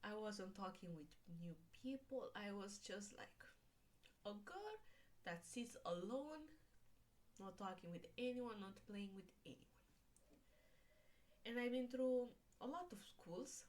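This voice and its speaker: A young woman from Romania, speaking English, talking to camera in a personal vlog, slow and hesitant with many fillers. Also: mid-range voice, expressive, darkish tone. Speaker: vlogger.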